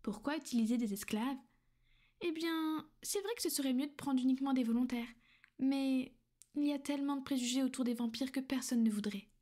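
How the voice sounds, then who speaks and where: Woman playing a soft-spoken vampire noblewoman. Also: sits high, brisk. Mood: calm, matter-of-fact. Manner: soft, soothing, often whispered. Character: gentle, possessive female vampire noblewoman. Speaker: ASMR roleplay performer. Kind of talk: ASMR roleplay.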